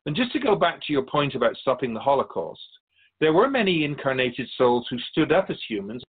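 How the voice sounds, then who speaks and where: A man playing an otherworldly keeper of souls in a radio drama, speaking in a measured, formal, philosophical way with patient wisdom. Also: medium pitch, sing-song, husky. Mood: solemn, explanatory. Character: otherworldly male keeper of souls, wise, patient, solemn messenger. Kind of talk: radio drama interview acting. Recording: dull lo-fi audio.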